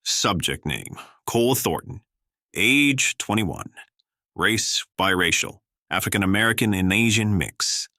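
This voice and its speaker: An actor playing a weary, reluctant scientist in charge, speaking in a measured, formal, clipped way in an audio drama. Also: male voice, on the low side, animated, vocal fry, pushed and bright, no echo, clean studio sound, heavily acted. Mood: businesslike.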